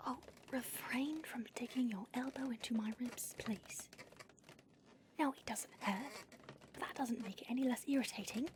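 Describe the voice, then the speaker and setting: A woman's voice: on the high side, moderate pace, noisy background, lightly acted. A woman voicing an aloof, prickly female vampire who is centuries old, speaking in a dry, sardonic whisper for an audio roleplay. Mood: irritated.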